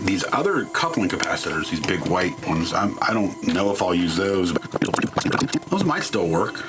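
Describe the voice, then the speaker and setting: A man's voice: sits low, very animated, moderate pace. A man narrating a hands-on electronics teardown in an informal, wry, self-deprecating and mildly crude way. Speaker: host of an electronics teardown channel. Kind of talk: casual hands-on tutorial narration.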